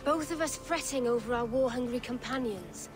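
A woman playing a dignified middle-aged noblewoman envoy in a video game, speaking in a formal, measured, stately way. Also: a little high, close to an everyday voice. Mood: solemn. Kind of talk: video game dialogue acting. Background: music.

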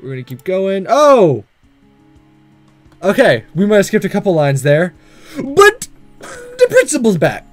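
A man with a high, very animated voice gives casual gaming commentary. He is goofy and theatrical, reacting and joking as he plays, with music in the recording. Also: unhurried, long pauses, no echo. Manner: goofy, jokey, theatrical exclamations. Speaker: gaming video host reading and reacting. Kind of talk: casual let's-play commentary.

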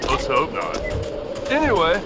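An actor playing a young, laid-back snowboarder dude who leads a gang of pranksters, talking in surfer-style slacker slang. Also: male voice, on the high side, wildly swooping pitch, a little slow. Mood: laid-back, uneasy. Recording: game audio under loud music.

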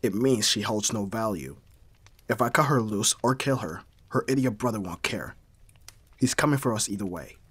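A man acting a brooding mafia boss, hard but secretly caring, in a dramatic audio roleplay with terse, measured delivery. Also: on the low side, a bit bright, slightly rough. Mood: frustrated. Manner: terse, profane, sighing, measured.

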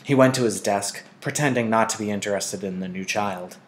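Male narrator, fairly deep voice, teacher reading a novel aloud. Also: brisk.

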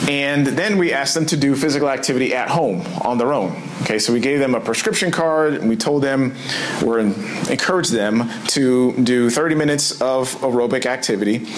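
A man with a medium-pitched voice presents a study at a conference. He explains step by step like a researcher walking through slides, with short check-ins. The recording has clear room noise behind him. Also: rough recording. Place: indoor conference room at a lectern.

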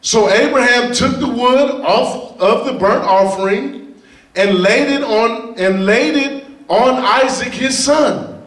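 A middle-aged man preaches in a very high voice, reading scripture and driving his points home with sudden shouts. He speaks into a handheld mic in a large church hall, heard from a distance with a roomy echo. The congregation murmurs faintly behind him. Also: slow. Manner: emphatic, bursts into shouted lines. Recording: echoey hall, heard from afar. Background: congregation responding.

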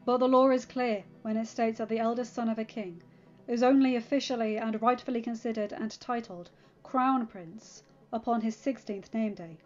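A woman voicing a measured, formal grown man explaining the law. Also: fairly high pitch, a little mellow, highs cut off, close to an everyday voice. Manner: precise, formal.

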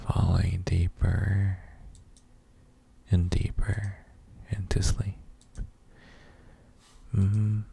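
Deep, gravelly, whispery boyfriend played by a man. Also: flat delivery, a little hiss or hum, full character voice. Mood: soothing, sleepy. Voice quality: very soft, breathy, gravelly, often near whisper. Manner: slow, hesitant, murmured reassurances with hums. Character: gentle, doting boyfriend soothing partner to sleep. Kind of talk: ASMR roleplay, guided sleep meditation.

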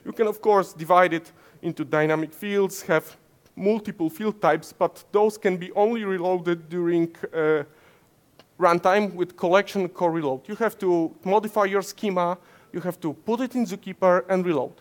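Polish male speaker, 30s, conference stage, slightly high voice, lots of uhs and restarts. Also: normal speed. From Poland. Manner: many fillers and self-corrections, gesturing while explaining. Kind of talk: technical conference talk. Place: conference stage in front of slides, indoors.

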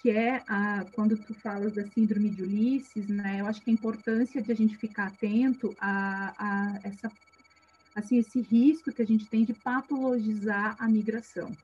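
A woman's voice: medium pitch, steady tone, normal speed, darkish tone, breathy edge. A woman, a psychologist reflecting aloud in a panel discussion, hesitant and full of tag questions, heard over a video call. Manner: reflective, many hesitations and "né" tags. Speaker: psychologist in a migration project. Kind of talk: thoughtful spoken reply in a panel discussion. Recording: dull lo-fi video call audio with faint hum.

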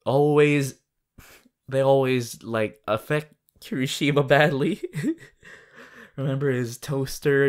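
Girl doing a sweet shy anime teen boy, kinda low for a female voice, slow n halting, asmr roleplay. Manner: halting, affectionate, with small hesitations. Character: sweet, bashful, excitable teenage boy hero from anime.

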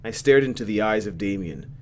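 Low-voiced, very animated young male gamer. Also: fairly quick, slight background noise. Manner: playful, reactive. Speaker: gamer reading a visual novel aloud. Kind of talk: let's play, dramatic reading with casual commentary.

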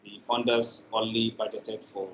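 A middle-aged man with a Nigerian accent speaks slowly as an interview guest. His video call audio is echoey and dull, picked up in a room indoors. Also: fairly low pitch, level tone, a bit bright, slight background noise. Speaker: interview guest, media producer. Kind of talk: interview answers. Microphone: video call, device mic.

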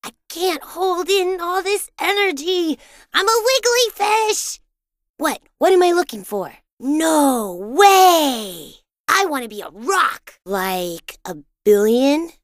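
A woman voicing a boisterous, imaginative and boastful young boy in a cartoon-style video game, with an excitable, dramatic, childlike delivery. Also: very high-pitched, slow pace, dry recording, clean studio sound, exaggerated voice. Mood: eager, chatty. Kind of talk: animated video game voice acting.

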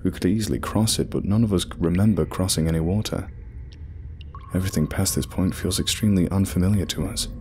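A man playing a shaken scientist who records a tense log deep inside a cave. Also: brisk, a little hiss or hum. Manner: low hushed whispering, tense. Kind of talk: horror audio drama narration.

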